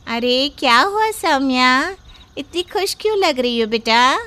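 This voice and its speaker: Young mother voiced by a woman, earnest, high voice, storybook drama. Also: animated, a little slow, pushed and bright. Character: young mother defending her daughter.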